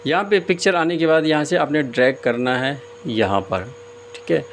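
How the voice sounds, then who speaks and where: A man giving a casual step-by-step screen tutorial as host, often pausing hesitantly mid-sentence. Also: a little high. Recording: clear background noise, room ambience.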